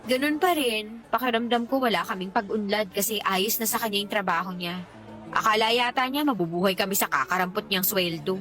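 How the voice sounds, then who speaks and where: A woman's voice: mid-range voice, fairly quick, a bit bright, soft background music, natural voice. A woman playing a candid, defensive young mother in a radio drama, dissatisfied with her lot. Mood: fed up, dreamy. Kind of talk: Tagalog radio drama dialogue.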